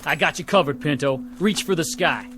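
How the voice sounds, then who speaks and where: An actor playing a hard rancher gunman in an old Western drama, forceful and commanding, with an American accent. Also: a little high, fairly quick, lightly acted. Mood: commanding, hostile. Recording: vintage TV drama audio under music.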